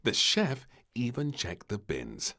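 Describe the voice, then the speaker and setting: A man narrating a children's storybook in an expressive, theatrical and measured way. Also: mid-range voice, gravelly, heavy background noise.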